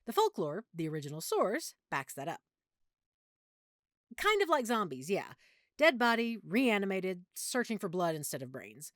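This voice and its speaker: An affectionate girlfriend with strong opinions and a nerdy streak, acted by a woman for an audio roleplay. Her pitch moves animatedly as she explains things in a playful, fussy way. The sound is dry and intimate, like a one-sided chat between partners. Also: sing-song, normal speed, fairly bright tone, no echo. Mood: animated, opinionated.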